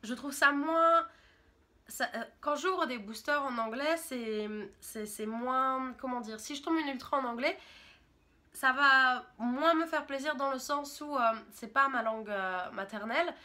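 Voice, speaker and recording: A woman's voice: high-pitched, unhurried, sharp tone. A French woman in her twenties, a YouTuber talking in a chatty, rambling, enthusiastic way in a casual vlog monologue. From France.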